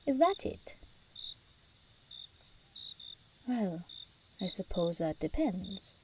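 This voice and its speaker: Slow, mellow demon princess, acted by a woman. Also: mid-range voice, a little hiss or hum. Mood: soothing, seductive. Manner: theatrical, teasing, imperious endearments. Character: regal, seductive demon princess, commanding yet tender. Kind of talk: ASMR roleplay monologue.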